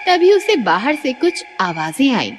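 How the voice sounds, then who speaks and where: A woman narrating a cartoon horror story in a measured, expressive way. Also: high-pitched, noisy background. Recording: reverberant, with loud background music.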